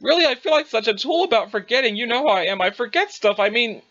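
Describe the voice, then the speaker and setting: A man's voice: very high voice, animated, quick pace, sharp tone, muffled recording, heavily acted. A man playing a rambling, self-absorbed young adventurer in an audio drama, with bumbling, old-fashioned folksy turns of phrase. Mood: bewildered, apologetic. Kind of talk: dramatized dialogue reading.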